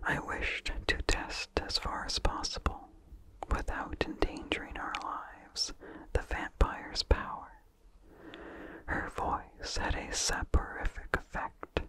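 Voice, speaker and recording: A person reading a vintage horror story as ASMR in a soft, hushed, deliberate whisper with no voice behind it. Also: low-quality recording. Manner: slow, hushed, deliberate delivery. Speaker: narrator.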